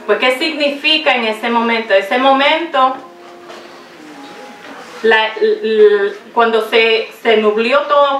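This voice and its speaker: Slow, halting woman preacher in echoey room. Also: a little high, fairly bright tone. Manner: halting, searches for words, slips into English. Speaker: pastor's wife preaching. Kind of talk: Good Friday sermon. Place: small home room set up as a chapel, indoors. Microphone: far camera, no visible mic. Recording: echoey room from a far camera.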